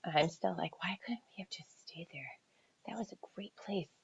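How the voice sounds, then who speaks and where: Husky, creaky-voiced American woman. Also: fairly low pitch. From New Hampshire seacoast, United States. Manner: halting, reflective, thinking aloud, trailing off. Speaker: author answering audience questions. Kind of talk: casual livestream Q&A chat. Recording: dull lo-fi livestream audio.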